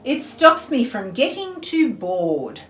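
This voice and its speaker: Storybook swordfish, hungry, swaggering hunter, lively pitch, played by a woman reader, roomy echo. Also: takes it slowly, full character voice. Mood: boastful. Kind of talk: picture book read-aloud in verse. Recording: roomy echo, faint music behind.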